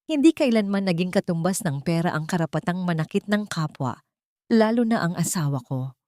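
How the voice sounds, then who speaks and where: A woman storyteller voicing a calm, protective husband with quiet, firm authority and tenderness. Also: on the low side, a little fast, dry recording, studio quality, natural voice. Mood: calm firmness.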